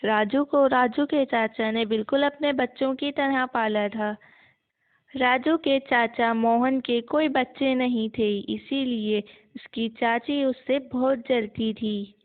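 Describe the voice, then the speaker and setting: A woman's voice: fairly high pitch, steady tone, slow, no room sound. A woman narrating a scripted children's moral story as the storyteller. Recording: muffled, narrow phone-like sound.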